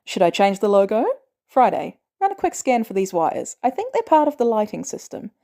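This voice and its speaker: Woman doing a teasing, exasperated inventor man, wry n casual, really lively up and down delivery. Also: mid-range voice, moderate pace, gravelly. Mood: wry, bantering. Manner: wry, informal, animated. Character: sarcastic, exasperated adult male inventor, fond of teasing.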